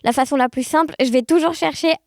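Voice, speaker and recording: A woman's voice: high voice, fast talker, a little mellow, no room sound, clean studio sound. A cheerful woman chatting casually as a podcast guest, with an audible smile. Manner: cheerful, smiling. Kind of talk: casual personal interview.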